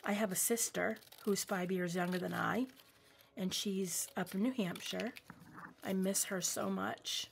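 A woman's voice: mid-range voice, steady tone, measured pace, creaky, noisy background. A woman in her forties from New England, chatting casually with many ums while crafting at home under an overhead camera. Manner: rambling, warm asides with many ums. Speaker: craft vlogger. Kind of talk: casual craft-along chat. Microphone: overhead camera, hands only.

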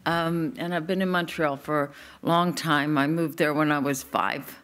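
Middle-aged woman, lowish voice, relaxed conversational podium talk. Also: a little slow. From Newfoundland, Canada. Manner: relaxed and conversational, with light asides. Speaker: clinical researcher, speaker. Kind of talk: conference talk. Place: conference hall, at a podium. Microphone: podium microphone.

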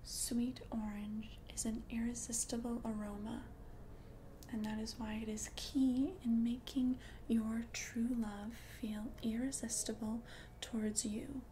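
An ancient witch, played by a woman, murmurs in a soft, breathy ASMR whisper. The delivery is unhurried and barely varies in pitch, confident and soothing as potions are brewed. Noticeable background noise sits under the voice. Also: level tone, natural voice. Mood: calm, instructive. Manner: slow, deliberate, soothing, repeats words for emphasis.

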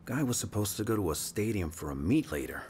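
A man's voice: fairly low pitch, very animated, quick pace, darkish tone, slight background noise. A man playing an earnest, streetwise police detective in a video game, speaking casually. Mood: casual, musing. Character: earnest, quick-thinking male police detective. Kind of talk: naturalistic video game acting.

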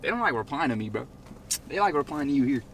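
Young American man, slangy prank vlogger, very animated delivery, recorded in a car with clear vehicle noise. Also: quick pace. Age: young adult. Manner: slangy, boisterous. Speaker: prank video host. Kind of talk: casual prank vlog banter. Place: inside a car. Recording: noisy car interior, loud vehicle rumble.

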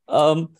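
A man's voice: a little mellow, no echo. Chatty middle-aged man hosting online. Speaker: host of an online singing competition. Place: indoor room on a video call. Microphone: computer mic on a video call.

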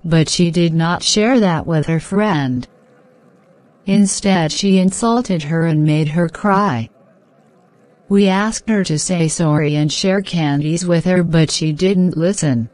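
A woman playing a gentle young woman in a children's cartoon, with measured, plain-spoken scripted lines. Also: fairly low pitch, no room sound. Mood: troubled. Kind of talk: simple scripted dialogue for children.

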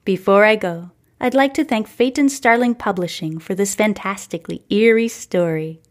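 A woman host reading a story in a measured, scripted audiobook style. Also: medium pitch. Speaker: podcast host and book narrator. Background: music.

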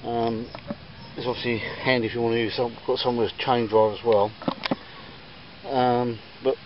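A man rambles through a casual chat, full of ums and restarts, in a middle-pitched voice. He is filming himself outdoors in a garden with a camera mic. The audio is a little dull, with faint outdoor ambience and church bells behind him. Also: level tone, normal speed, noisy background. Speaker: vlogger showing auction finds.

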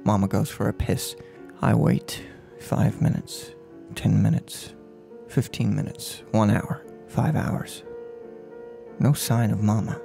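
A man in his twenties playing a soft-spoken, unhinged serial killer in a menacing, mock-polite ASMR role play, close to the microphone. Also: fairly low pitch, flat delivery, slow pace, gravelly, soft background music, exaggerated voice. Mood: brooding, measured. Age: 20s. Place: room at home. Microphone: close desk microphone on boom arm.

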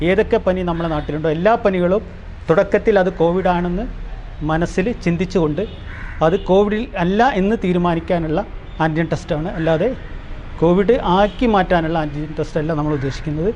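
The recording is muffled, with faint outdoor noise behind. A man with a Kerala accent speaks in a fairly high voice. He presents to the public in long, formal, haltingly phrased sentences. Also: darkish tone. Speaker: presenter explaining the show to the public. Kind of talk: public awareness address.

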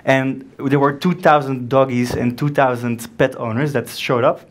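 A young Belgian man with a French accent, giving an animated startup conference talk on stage and acting out quick dialogues. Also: mid-range voice. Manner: animated, acts out dialogue in quick back-and-forth. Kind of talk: energetic keynote storytelling. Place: dark event room with a stage and audience.

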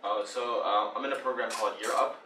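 A man's voice: lowish voice, fairly even intonation, brisk. A young man from Ethiopia introducing himself at a meeting, speaking hesitantly with many fillers. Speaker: guest. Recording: distant, roomy, light noise.

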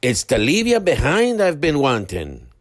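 A man's voice: medium pitch, wildly swooping pitch, a little slow, faint music behind, pitched above the performer's own voice. A man voicing a blunt, feisty male steam engine with a Scottish accent. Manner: blunt, scolding.

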